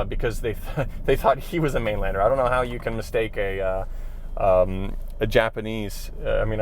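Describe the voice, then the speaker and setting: A man talking earnestly to camera in a casual vlog from his car, thinking aloud with restarts. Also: lowish voice. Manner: earnest, talks through ideas with restarts. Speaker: vlogger. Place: in a car on a city street. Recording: street noise behind voice. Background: traffic and city noise.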